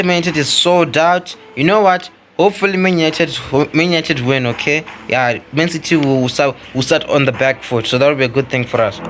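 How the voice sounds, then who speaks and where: A man's voice: a little high, fairly bright tone. A man hosting a gaming video, giving casual gameplay commentary as he thinks aloud, repeating phrases and addressing viewers. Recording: clearly noisy home recording.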